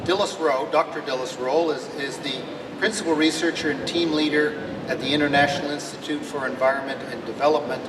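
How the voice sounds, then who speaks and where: A man moderating a session, introducing the next speaker in an unhurried, slightly hesitant way. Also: on the high side, fairly even intonation. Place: large conference hall. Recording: echoey hall recorded from far off with noise.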